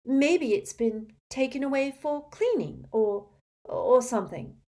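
Woman as young girl witch, prim, earnest, raised pitch, insistent, storybook character. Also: very animated, unhurried, dark tone. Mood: polite, probing. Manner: polite but insistent. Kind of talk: audiobook character acting.

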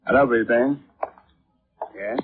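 An actor playing a brisk, businesslike police sergeant in a 1950s radio drama, direct and official. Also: male voice, mid-range voice, breathy edge. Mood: firm, loud. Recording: muffled, tinny vintage radio recording.

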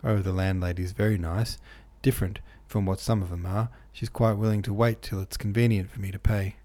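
A man's voice: fairly low pitch, fairly even intonation, fairly quick, mellow, natural voice. A man reading the lines of a coarse, defensive young woman who snaps back defiantly, in a storybook reading. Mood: evasive. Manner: rough, defiant retorts.

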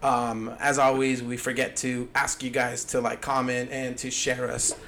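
A man's voice: mid-range voice, little pitch movement, measured pace, fairly bright tone, a little hiss or hum. A young man hosting a casual podcast chat, loose and profane, laughing often as he talks. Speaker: podcast host.

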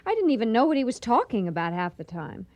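A woman's voice: a little high, big pitch swings, fast, darkish tone, no echo. A woman playing a worried, stubborn young daughter in a radio drama, insistent and protective. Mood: exasperated, anxious.

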